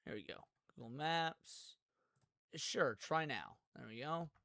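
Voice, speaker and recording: A man's voice: a little high, expressive, slow pace, creaky, sharp tone, dry recording, studio quality. A man giving casual live reaction commentary to viewers, thinking aloud in an informal way. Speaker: streamer reacting to a video series.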